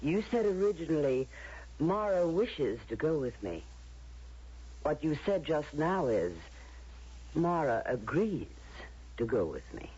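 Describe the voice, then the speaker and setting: A menacing judge of a utopian world, formal and falsely courteous. The voice is husky and fairly high with sweeping intonation, heard on a dull old radio drama recording. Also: male voice, sing-song, a little slow, pauses often, darkish tone, exaggerated voice. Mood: courteous menace. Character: smooth-mannered, menacing judge of a utopian society.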